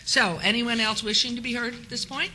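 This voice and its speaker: A woman with a hoarse voice from a cold, chairing a formal council meeting in city chambers and reading procedural announcements into a desk microphone. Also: a little high, fairly even intonation, fairly quick, bright, forceful tone, slight background noise. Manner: reads a set script, then chats lightly with colleagues.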